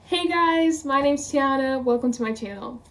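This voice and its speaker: A woman's voice: sits high, moderate pace, recorded from a distance, over music. A woman of twenty talking casually to camera as a vlogger, rambling, quoting her own thoughts and laughing often. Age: 20s.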